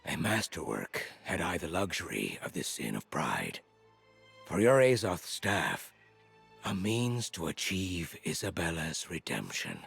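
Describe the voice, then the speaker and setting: Deep, gravelly, solemn sage. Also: male voice, wildly swooping pitch, measured pace, fairly bright tone, exaggerated voice. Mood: solemn, satisfied. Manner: solemn, formal, portentous. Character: grave, pious male sage and mentor. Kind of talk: scripted fantasy video game dialogue. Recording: faint music behind the voice.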